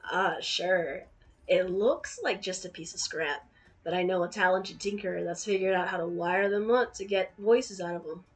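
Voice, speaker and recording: Woman doing a hushed, smooth-talking young man. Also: lowish voice, steady tone, normal speed, voice pushed down, close to an everyday voice. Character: calm, quick-witted young man, smooth talker.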